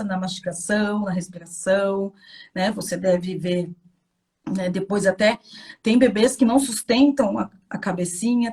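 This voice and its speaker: A woman with a Brazilian accent, a guest health specialist explaining informally and haltingly, often checking that listeners follow. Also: medium pitch, husky. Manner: conversational, halting, checks understanding with tags.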